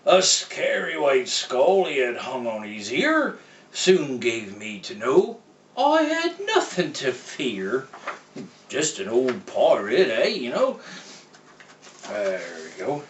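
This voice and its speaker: A man's voice: medium pitch, wildly swooping pitch, takes it slowly, muffled recording, slight background noise. A man doing a jolly old pirate storyteller. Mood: storytelling. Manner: folksy, tags lines with "eh?", pirate slang. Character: jolly old pirate, Santa's seafaring cousin. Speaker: storybook reader. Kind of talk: in-character read-aloud. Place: room, reading to a computer. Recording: roomy, mic at a distance.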